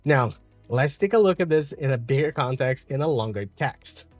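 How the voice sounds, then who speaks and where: A man from Brazil with a Brazilian Portuguese accent, calmly explaining an English lesson with frequent fillers. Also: medium pitch, moderate pace, dry recording, soft background music. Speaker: English teacher.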